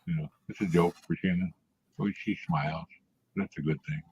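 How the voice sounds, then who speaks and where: Low-voiced man in his sixties, chatty. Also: fairly even intonation, normal speed, darkish tone, breathy edge. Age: 60s. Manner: rambling storyteller, chuckles often. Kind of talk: casual chat. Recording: muffled lo-fi audio.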